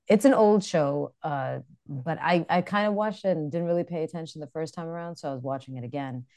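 A woman with an American accent, co-hosting a podcast in casual, animated chat. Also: low voice, fairly quick. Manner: chatty, animated storytelling with frequent laughs. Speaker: podcast co-host.

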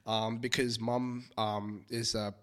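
A man raised in Australia, a podcast guest, telling his story in a relaxed, rambling way with many fillers. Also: on the low side, takes it slowly. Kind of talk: casual chat.

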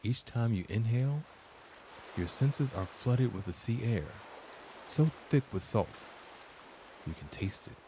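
A man narrating a scripted guided meditation as its host, deliberate and soothing, leaving long pauses between short phrases. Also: medium pitch, animated, noisy background.

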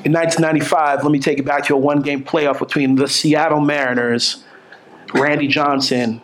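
A man in his forties with a New York accent, preaching a sermon in a lively, joking way and often restarting his words. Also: fairly high pitch, a little fast. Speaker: pastor. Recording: echoey room.

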